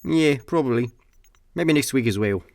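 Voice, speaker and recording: A man's voice: mid-range voice, lively intonation, moderate pace, gravelly, a little mellow. A young Scottish man playing an idle, agreeable space slacker in a comic radio play, chatting casually. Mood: casual, musing. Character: laid-back, idle male space slacker, mildly eager. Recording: dry studio, faint music behind.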